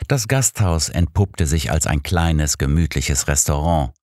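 A man's voice: very deep voice, animated, a little slow, a little mellow, no echo, clean studio sound. A man reading a detective story as scripted audiobook narration.

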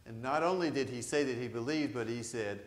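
Older priest preaching in an echoey church. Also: male voice, mid-range voice, a little fast. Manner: animated, gesturing, conversational preaching with questions to the congregation. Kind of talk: sermon. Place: large church sanctuary.

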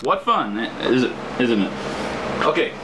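Guy in his 30s explaining a reno job, says basically a lot, echoey room w loud hum behind. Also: mid-range voice, sing-song, a little slow. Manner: rambling, repeats "basically" and "actually". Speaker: home renovator. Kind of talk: casual how-to demonstration. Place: small indoor room under renovation. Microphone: camera mic from across the room.

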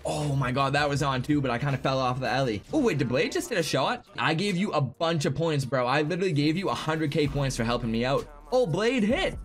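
A young man in his twenties live-streaming a game from his home setup, chatting excitably with viewers, swearing freely and going hoarse. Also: on the high side, fairly quick, few pauses, faint music behind. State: losing his voice. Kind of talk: live gaming stream chat. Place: home gaming room.

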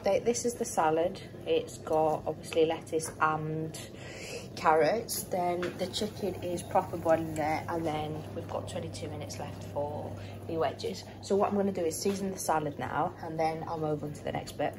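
A woman's voice: low-pitched, fairly even intonation, moderate pace, over music, low-quality recording. A young woman vlogging as she cooks in her home kitchen, chatting casually with lots of fillers and restarts. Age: young adult. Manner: chatty, rambling, many fillers and self-corrections. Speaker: cooking vlogger. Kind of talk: casual cook-along chat. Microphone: phone camera propped close, moving around.

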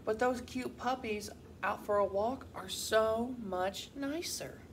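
A woman voicing a gentle mother hen in a storybook, wistful and easily upset, with a plaintive, sighing way of talking. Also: on the high side, slow pace, a little hiss or hum, voice pushed up. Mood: sad, wistful.

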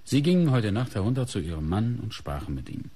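A man's voice: on the low side, big pitch swings, brisk, mellow, slightly rough, full character voice. An actor playing an insistent male police inspector who questions probingly in a radio crime play. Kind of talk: German radio play acting.

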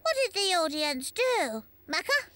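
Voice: very high voice, sing-song, measured pace, bright, forceful tone, heavily acted. A performer voicing a cheerful young tiger puppet who hosts a children's quiz, bouncy and sing-song. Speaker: quiz host. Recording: children's show with music underneath.

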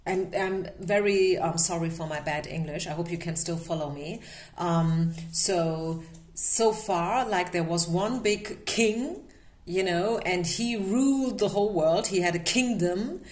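A woman's voice: on the low side, measured pace, a little mellow. A woman with a German accent giving a casual tarot and energy reading, often checking in with listeners by asking "okay?". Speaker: tarot reader. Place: small room at a table with candles.

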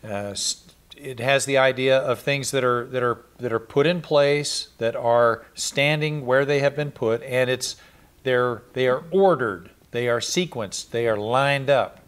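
A man's voice: mid-range voice, a little slow, smooth. A middle-aged man giving a verse-by-verse Bible study, explaining and repeating Greek words, seated in a small studio with a lapel mic. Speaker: Bible teacher.

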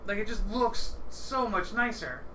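A man's voice: high-pitched, lively intonation, measured pace. A man hosting a casual board game livestream in an informal, enthusiastic way. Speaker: livestream host. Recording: distant mic, clearly noisy livestream audio.

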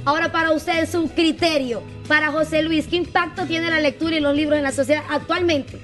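A woman's voice: high-pitched, steady tone, a little fast, faint music behind. A woman hosting a live television interview, asking questions and addressing viewers as presenter. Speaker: TV host, interviewer. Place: TV studio set with sofas.

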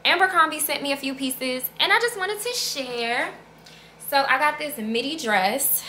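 A woman's voice: sits high, expressive, moderate pace, pushed and bright, slight background noise. A woman in her thirties doing a casual clothing haul to camera at home, chatty and upbeat, calling viewers y'all and sis. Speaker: fashion vlogger. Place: living room with plants, indoors. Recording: echoey room.

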